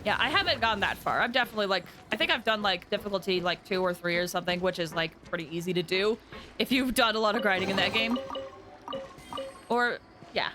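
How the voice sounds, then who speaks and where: A woman's voice: mid-range voice, fast, over music. A woman livestreaming a game, chatting casually and informally with viewers in a rambling way. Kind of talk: casual chat with viewers while gaming. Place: livestream voice with game music behind.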